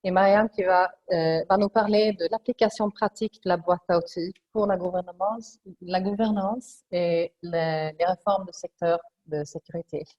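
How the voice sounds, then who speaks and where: A woman with a Swedish accent hosts a webinar panel, introducing speakers in a formal, halting way as she hunts for the right words. Her voice sits a little low, and it comes through a dull, lo-fi video call line. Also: steady tone. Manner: halting, searching for words in French. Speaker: webinar moderator.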